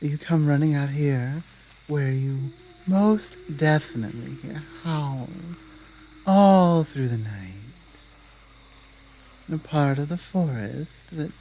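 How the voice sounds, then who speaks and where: A menacing female werewolf pack leader, played by a woman for a roleplay ASMR drama. Her voice is dark and mellow, and she takes her time with every deliberate, taunting command. A faint sizzle of ambient noise sits behind her. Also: low voice, expressive, full character voice, low-quality recording.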